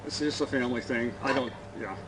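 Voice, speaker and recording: A man's voice: medium pitch, steady tone, quick pace. A man from Michigan with a Midwestern US accent, a driver pulled over at a traffic stop who answers hesitantly and stammers. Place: roadside by a highway. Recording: loud traffic noise, voice off-mic.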